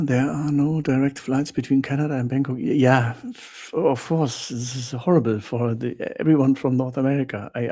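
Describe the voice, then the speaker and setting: A man's voice: medium pitch, vocal fry, warm tone. A man from Germany with a German accent, hosting a casual live Q&A for viewers. He hesitates and searches for words, then drops short, blunt exclamations. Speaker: livestream host answering viewer questions.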